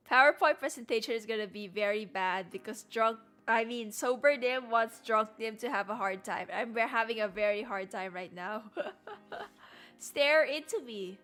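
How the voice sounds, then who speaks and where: A woman's voice: mid-range voice, unhurried, fairly bright tone, faint music behind. A young woman from the Philippines, tipsy and giggly, casually teaching history on a livestream and talking to her chat. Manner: giggly, loose, repeats herself. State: tipsy from drinking. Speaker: streamer.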